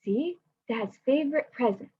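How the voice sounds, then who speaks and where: Young woman doing an eager little girl, kinda breathy voice, dull lo-fi call audio. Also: sits high, expressive, measured pace, dry recording, exaggerated voice.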